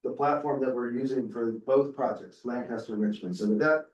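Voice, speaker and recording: A man moderating a conference session, introducing the next speaker in a measured, collegial way. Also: mid-range voice, darkish tone, muffled recording. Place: conference room. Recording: echoey room, speaker far from mic.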